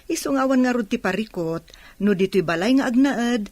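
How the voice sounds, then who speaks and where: Actress as hospitable middle-aged aunt in an audio drama; moderately high register. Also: female voice, lively intonation, normal speed, darkish tone, dry recording, lightly acted. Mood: welcoming. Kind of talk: radio drama acting.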